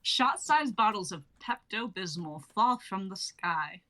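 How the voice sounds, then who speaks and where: A woman's voice: medium pitch, animated, unhurried, pushed and bright. A young woman reading stage directions as the narrator of a scripted comedy sketch. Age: young adult.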